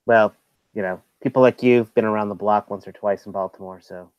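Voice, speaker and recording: A man's voice: lowish voice, fairly even intonation, brisk, clear, resonant tone, no room sound, highs cut off. A man co-hosting a podcast, chatting casually with joking, wry asides. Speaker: podcast co-host. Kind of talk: casual chat.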